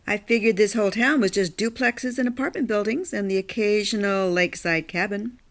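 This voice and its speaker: A woman's voice: mid-range voice, fairly quick, close to an everyday voice. A woman playing a blunt, independent blue-collar plumber with a casual, dry manner in an audio drama. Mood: matter-of-fact.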